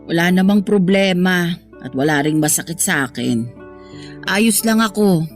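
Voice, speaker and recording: A woman's voice: fairly low pitch, animated, a little slow, faint music behind. An actress playing a heartbroken, defensive older mother in a radio-style drama, plaintive and tearful, with a Filipino accent. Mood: tearful, subdued.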